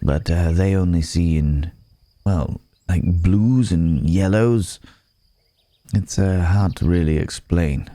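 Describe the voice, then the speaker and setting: A man playing a gentle, apologetic werewolf in an intimate ASMR roleplay, speaking in a hesitant, polite, old-fashioned way with soft chuckles. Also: low-pitched, takes it slowly, dry recording. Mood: thoughtful, searching.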